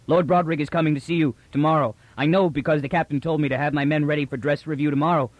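A man doing an excited peasant soldier, rushed. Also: fairly high pitch, no echo, dull audio, voice pushed up, natural voice. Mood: agitated. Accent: thick rural accent of the figure. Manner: agitated, rushed, stumbling over words. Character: excited young male peasant-born soldier.

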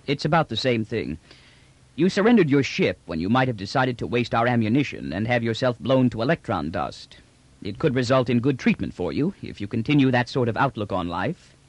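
A man's voice: medium pitch, very animated, fairly quick, dry recording. A man voicing a confident, coolly menacing male imperial general with formal, precise, authoritative speech in an audiobook. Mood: dry, satisfied. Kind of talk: audiobook character dialogue.

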